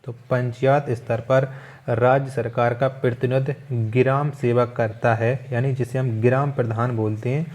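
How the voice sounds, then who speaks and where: A man's voice: medium pitch, level tone, moderate pace, a little mellow. A man teaching an exam-prep quiz lesson, reading out questions, asking them again rhetorically and repeating the answers.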